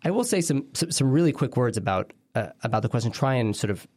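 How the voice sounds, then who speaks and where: A man's voice: mid-range voice, animated, quick pace, darkish tone, no echo. A man from Israel with an American accent, giving conversational interview answers as a guest scholar in a hesitant, wordy, self-interrupting way.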